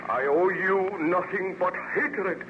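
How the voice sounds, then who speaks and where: Haunted nobleman, formal and intense, slightly high pitch, vintage dull-sounding radio drama. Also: slow pace. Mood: bitter hatred. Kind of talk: 1930s-40s radio serial acting. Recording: noisy muffled old radio recording.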